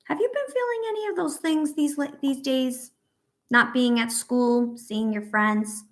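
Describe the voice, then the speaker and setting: A woman reading a picture book aloud to young children as a teacher, pausing to ask questions and offer encouragement and reassurance. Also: high voice. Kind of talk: read-aloud story with asides to young children.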